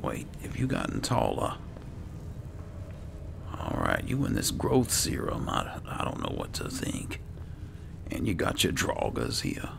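Very deep, gravelly man muttering game commentary. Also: huge pitch swings, slow, pauses often, noisy background. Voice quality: gravelly, often half-whispered. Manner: muttering to himself. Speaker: gamer playing and narrating. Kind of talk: casual roleplay game commentary.

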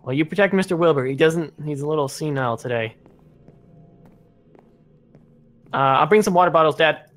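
A man's voice: fairly high pitch, quick pace, fairly bright tone, faint music behind, natural voice. A man playing an earnest, practical young son who survived a plane crash, in a casual improvised game roleplay.

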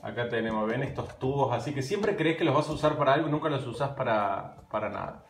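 A man's voice: mid-range voice, quick pace, gravelly. Chatty Argentine man in an echoey kitchen. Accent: Argentine Spanish. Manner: chatty, animated, gesturing while talking. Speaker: live stream host. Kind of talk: casual live stream chat. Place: home kitchen at night, indoors. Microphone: phone camera at arm's length. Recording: roomy, echoey room.

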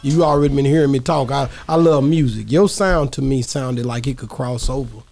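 Gravelly slangy podcast host, man. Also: medium pitch, darkish tone, faint music behind. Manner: loose and slangy, quick backchannels. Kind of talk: casual interview chat. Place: small podcast studio indoors.

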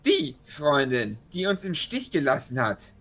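A man's voice: a little high, big pitch swings, a little slow, fairly bright tone. A man taking part in a tabletop role-playing game, switching between in-character speech and casual chat.

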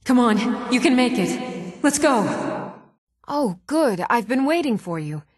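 A woman's voice: fairly high pitch, expressive, slow pace, dry recording, clean studio sound. An actress playing a sturdy, earnest townswoman and warrior in a fantasy video game, with formal, old-fashioned phrasing.

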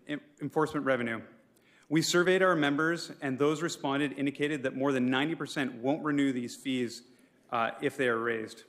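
A man's voice: fairly high pitch, fairly bright tone. A man delivering a formal prepared speech as an advocate at a public council meeting, pleading his case and sometimes stumbling over words. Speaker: public speaker for a property owners' association at a council meeting.

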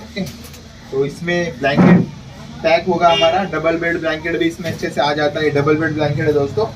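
A man's voice: fairly high pitch, flat delivery, a little fast. Chatty man demonstrating in a noisy shop. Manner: chatty, keeps addressing viewers as friends. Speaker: laundry shop owner teaching on his channel. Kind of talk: casual how-to demonstration. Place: small laundry shop, indoors. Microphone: camera mic at a distance. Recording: distant mic with street and traffic noise.

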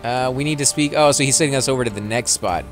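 A man's voice: medium pitch, faint music behind. A man with a Texan accent hosting a casual let's-play, chatting and rambling as he plays. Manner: chatty, rambling, quick to laugh. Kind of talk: casual gameplay commentary.

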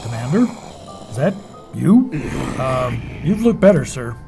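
An actor playing a dim, genial leader of a security team in a comic horror audio drama. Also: male voice, mid-range voice, very animated, a little slow, music in the background. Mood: deadpan.

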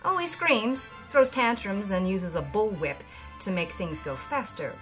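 A woman's voice: medium pitch, expressive, a little slow, a bit bright, soft background music. A woman playing a brash thirty-year-old 1950s B-movie actress, narrating her journal in a wry, breezy way. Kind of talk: scripted narration.

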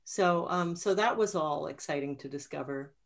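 A woman's voice: low-pitched, normal speed, creaky. A woman in her forties, an author answering questions conversationally on a video call from home. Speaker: author guest. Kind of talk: conversational book-event Q&A. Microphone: video call computer mic.

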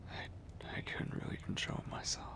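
A man voicing a possessive, courtly, seductive vampire lord in an intimate ASMR roleplay, murmuring breathily and deliberately close to the microphone. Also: lightly acted. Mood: apologetic, tender. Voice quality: breathy, gravelly low whisper.

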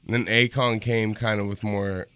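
Male podcast co-host, 30s, low voice, laid-back, hums beats, close dry studio mic. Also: steady tone, moderate pace, a bit bright, clear, resonant tone, no room sound. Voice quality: low-pitched voice. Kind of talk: casual chat. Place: small radio studio. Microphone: studio mic on arm, headphones.

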